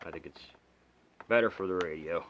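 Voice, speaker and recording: A man with a very low voice walks through a casual hands-on demonstration. He is plainspoken and sounds like a hobbyist partly talking to himself as he works. A light layer of background noise sits under the homemade recording. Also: normal speed. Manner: plainspoken, muttering to himself while working. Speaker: hobbyist radio reviewer. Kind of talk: casual unscripted product demonstration. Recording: steady background noise, amateur home video.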